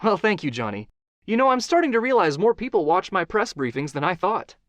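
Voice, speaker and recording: A man's voice: high-pitched, big pitch swings, a little fast, no room sound, pitched above the performer's own voice. A man voicing a poised, media-trained woman press secretary giving practiced, light answers. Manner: polished, practiced, lightly self-mocking.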